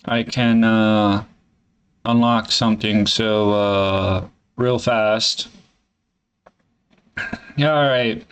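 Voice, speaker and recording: A man in a candid solo vlog, thinking aloud in a halting, hesitant way and swearing casually. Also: on the low side, steady tone, smooth. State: congested. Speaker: vlogger.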